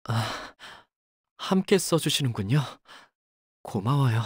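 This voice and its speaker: A male actor playing a solemn, polite young curse wielder in a video game, speaking in a measured, subdued, formal way. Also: medium pitch, very animated, slightly rough, no room sound, clean studio sound, full character voice. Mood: quiet, resigned. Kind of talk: video game character voice lines.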